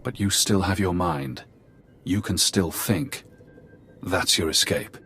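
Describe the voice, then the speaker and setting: A man voicing a cryptic, knowing phantom in a video game, deliberate and insinuating. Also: low voice, gravelly, pushed and bright, soft background music, heavily acted.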